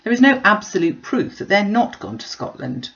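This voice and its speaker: A woman reading the part of a hopeful, reasonable middle-aged gentleman uncle in a classic novel, with formal phrasing. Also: mid-range voice, big pitch swings, moderate pace, dull audio. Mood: reasoning.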